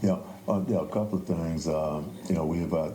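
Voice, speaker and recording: An older man, a playwright talking reflectively and haltingly as an interview guest. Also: rumbling low, warm tone. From New Orleans, United States. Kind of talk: conversational interview. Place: echoey indoor theater. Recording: echoey room, faint background noise.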